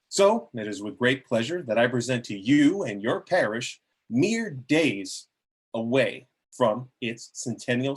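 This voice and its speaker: A man acts out a glib cardinal giving a public speech at a table read. His voice is middle-pitched and husky. He addresses the crowd in an oratorical, salesmanlike way. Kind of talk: amateur script table reading of a public speech.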